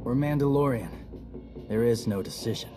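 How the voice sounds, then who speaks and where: A man's voice: mid-range voice, normal speed, lightly acted. A man playing a stoic, battle-weary mercenary soldier in a video game, blunt and plain-spoken. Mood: grim resolve. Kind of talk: video game cutscene acting. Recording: music behind the voice.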